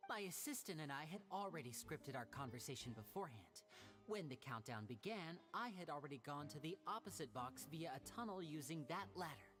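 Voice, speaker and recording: Polished showy young guy magician, lowish for her, lively game acting, music behind. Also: a little fast. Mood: explaining, assured. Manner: polished showman explaining theatrically. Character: young male stage magician, poised, showy, courteous. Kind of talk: anime video game voice acting.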